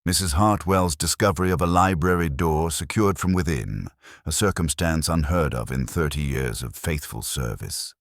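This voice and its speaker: A man voicing a fastidious, supremely certain middle-aged detective, delivering measured and grand pronouncements in a very deep voice. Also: no room sound, studio quality. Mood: assured.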